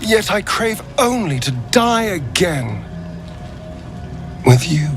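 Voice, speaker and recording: A flirtatious, theatrical lover in a fantasy game. He purrs and teases at an unhurried pace with very lively pitch, over faint music. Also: exaggerated voice. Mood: seductive. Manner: teasing, purring, theatrical. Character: suave, flirtatious male lover with theatrical flourish. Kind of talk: dramatic game romance dialogue.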